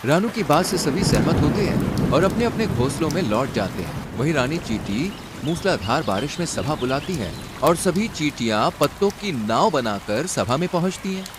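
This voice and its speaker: A man's voice: on the high side, sing-song, moderate pace, few pauses, very noisy. A man narrating a scripted cartoon story.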